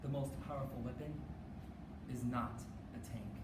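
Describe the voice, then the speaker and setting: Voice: mid-range voice, fairly even intonation, moderate pace. A performer giving a rehearsed, dramatic spoken-word recitation in a declamatory, emphatic way. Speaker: reader's theater performer. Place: live competition performance in a room. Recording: roomy, distant mic, noisy room.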